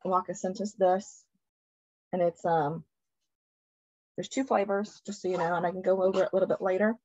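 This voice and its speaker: A woman's voice: lowish voice, fairly even intonation, normal speed, dark tone, no room sound. A woman hosts an online class over a video call, loose and restarting her sentences with "um" fillers. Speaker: host. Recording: dry, dull video call audio.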